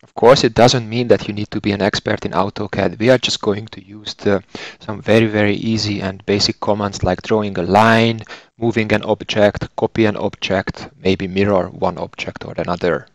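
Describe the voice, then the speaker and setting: A man in his forties with a Hungarian accent, narrating an instructional course in a methodical, explanatory way as a software trainer. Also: fairly low pitch, fairly even intonation, moderate pace, no room sound. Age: 40s. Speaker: software trainer and consultant engineer.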